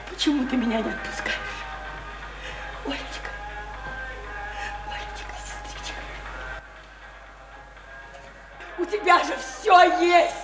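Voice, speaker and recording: An actress playing a distraught, feverishly eager young provincial woman in a Russian radio play, with an emotional, pleading delivery. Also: sits high. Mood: tender pleading. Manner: emotional, pleading, swinging from chatter to wailing. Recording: roomy radio play recording, faint music.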